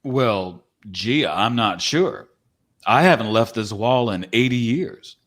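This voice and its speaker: A man playing a folksy, gentlemanly old cowboy in a dramatic play reading. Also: medium pitch, animated, takes it slowly. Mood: folksy, wry. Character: folksy, polite old cowboy figure living on a wall.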